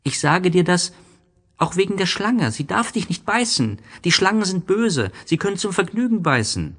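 A man playing a gentle, wistful young boy in a German radio play, speaking slowly, earnestly and tenderly. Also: high voice, sing-song, voice pushed up, exaggerated voice. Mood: worried. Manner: slow, earnest, tender, simple phrasing.

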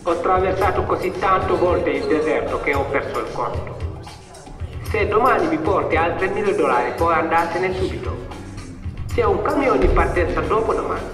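An actor performs a self-assured male people smuggler on stage. His voice is high for a man, brisk and insistent, repeating points like a dealer. It rings in an echoey hall with music clearly behind it. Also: far from the mic, lightly acted. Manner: businesslike, insistent, repeats himself for emphasis. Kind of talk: theatrical stage monologue.

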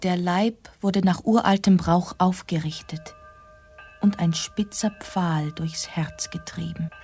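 An actress playing a sombre noblewoman narrating her haunted past in a radio drama, with a breathy voice. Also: lowish voice, steady tone, slow pace, darkish tone, soft background music. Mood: sombre, detached.

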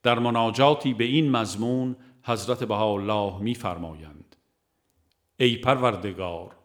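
A man narrating a religious audiobook, reciting scripture and verse in a measured, formal way with pauses between phrases. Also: fairly low pitch.

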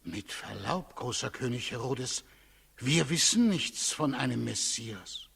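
A gravelly, husky high priest speaks with very slow, halting ceremony. He is obsequious and evasive, a cautious figure in an old radio drama, heard in a reverberant hall. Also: animated, fairly bright tone, full character voice. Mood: obsequious, careful. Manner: deferential, solemn, ceremonious, halting. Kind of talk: 1960s German radio play acting.

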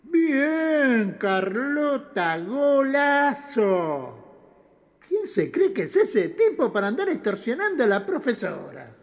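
A man's voice: very high voice, wildly swooping pitch, slow pace, exaggerated voice. A man playing a superstitious, chatty night watchman in an audio drama, with a Rioplatense Argentine accent, muttering to himself and exclaiming. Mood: excited, scolding. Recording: long hall-like reverb.

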